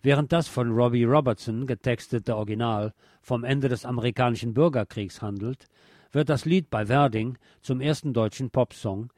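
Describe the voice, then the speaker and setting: A man's voice: medium pitch, darkish tone, dry recording, studio quality. A man presenting a scripted music-history narration in literate, formal wording with a dryly ironic manner. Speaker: host.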